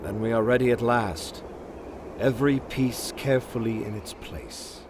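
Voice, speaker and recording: A man playing a shrewd, loyal military strategist in a video game, with formal, theatrical and deliberate delivery. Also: medium pitch, background noise, natural voice. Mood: grave, confident. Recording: music behind the voice. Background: game music.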